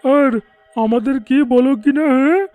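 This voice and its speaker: A woman voicing an excitable village man in a ghost cartoon, loud and theatrically emphatic. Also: high voice, unhurried, no room sound, narrow, tinny audio, faint music behind, pitched above the performer's own voice. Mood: mocking. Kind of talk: cartoon character acting.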